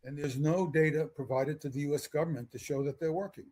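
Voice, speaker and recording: A man with a husky voice giving spoken commentary as an expert interview guest. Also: on the high side, steady tone, moderate pace, warm tone.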